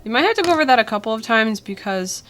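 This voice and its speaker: A woman's voice: a little high, quick pace, slight background noise. Young woman with a tired, cold-worn voice. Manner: relaxed, chatty, with many ums and uhs. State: voice weak after a cold. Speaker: art tutorial host. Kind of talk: casual drawing tutorial voice-over. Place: indoors at a drawing desk.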